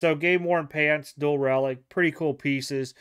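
A man's voice: on the high side, fairly even intonation, a little slow, fairly bright tone, clear, resonant tone. A man from Ohio talking casually about cards he picked up, rambling and correcting himself as he goes. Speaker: card dealer and vlogger. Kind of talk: casual vlog showing trading cards. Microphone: desk mic, off camera.

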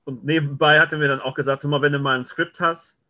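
A man's voice: on the high side, fairly even intonation, fast, pushed and bright. A middle-aged man telling anecdotes casually as an interview guest on a video call. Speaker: interview guest, scriptwriter and director. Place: indoor home room, video call. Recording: dull, lo-fi video call audio.

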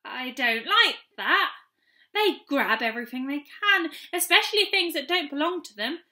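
Woman as a high-voiced little pixie fellow. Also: expressive, normal speed, bright, forceful tone, heavily acted. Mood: nervous warning. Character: merry, obliging little male pixie, watchful and clever.

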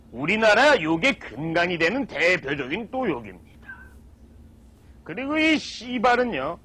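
Guy doing a fake lecture on stage, high voice, swoops up and down a lot. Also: sharp tone. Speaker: comedy lecturer. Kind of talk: mock lecture on swear words. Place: stage with live audience.

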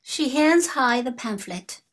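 A woman's voice: high-pitched, animated, slow pace. A woman narrator reading stage directions as scripted narration for a play reading.

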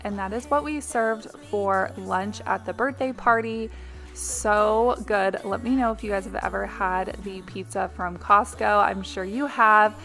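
A woman's voice: medium pitch, gravelly, fairly bright tone. A woman in her thirties, a mother and home vlogger, chatting through a cleaning video voice-over in a confiding, casual way with little ums.

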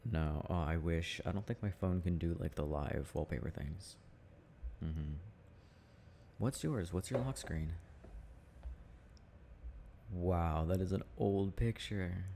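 A man's voice: deep voice, slow, long pauses, dark tone, slight background noise. A young man in an intimate ASMR boyfriend roleplay, chatting casually and affectionately with frequent chuckles. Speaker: boyfriend roleplay audio performer.

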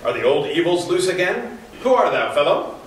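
A man's voice: sits high, sing-song, normal speed, a little hiss or hum. A man voicing a stern, imperious abbot with archaic, commanding declamation in a staged dramatic reading. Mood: suspicious, stern. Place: hotel conference room, indoors. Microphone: camera across the room. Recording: very echoey room, distant mic.

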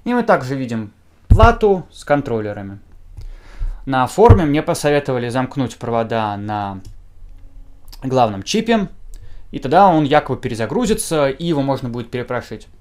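A man's voice: medium pitch, sing-song, moderate pace, a little mellow. A young adult man giving an informal product review to camera, now and then correcting himself mid-word. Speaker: gadget reviewer. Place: small indoor room, seated at a table.

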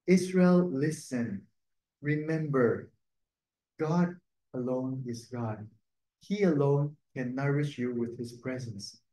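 A man, a priest and native Cantonese speaker, giving a gentle, conversational reflection on the Sunday readings, often adding a questioning "no?". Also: medium pitch, slow pace. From Cantonese-speaking region. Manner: gentle, conversational, tag "no?" and soft laughs. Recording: echoey room.

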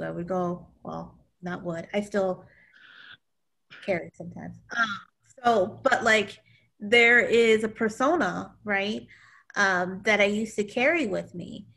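A woman in her thirties, a leadership coach, speaking candidly and animatedly on a video call from her home, sometimes trailing off softly. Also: medium pitch. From born in Okinawa, grew up in California. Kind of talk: panel discussion. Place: small home room indoors. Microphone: laptop camera on video call.

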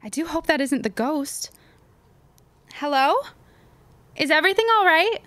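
Actress as a high-voiced plucky teenage girl. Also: animated, measured pace, fairly bright tone, no room sound. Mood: nervous. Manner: mannered, self-coaxing. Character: plucky, scheming, nervous teenage girl of 1916. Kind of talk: period audio drama acting.

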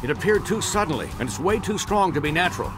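A man's voice: fairly high pitch, animated, fast, over music, close to an everyday voice. A man playing a big, caring older grandfather in a cartoon video game, firm and reassuring. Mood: firm, concerned.

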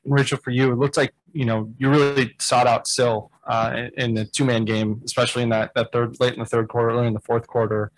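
Man, sports reporter, mid-pitched voice, halting and rambling questions, little room echo. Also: little pitch movement. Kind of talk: press conference questioning. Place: indoor press conference room.

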